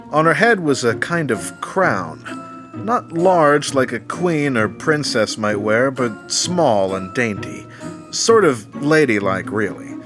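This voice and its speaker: A man reading scripted story narration as the narrator of an audio drama. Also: medium pitch. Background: music.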